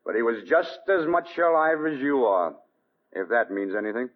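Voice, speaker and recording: A man's voice: medium pitch, sing-song, moderate pace, lightly acted. A man playing a defiant gangster in a 1940s radio crime drama, sneering in tough-guy slang. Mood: indignant, sneering. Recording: tinny, narrow 1940s radio recording.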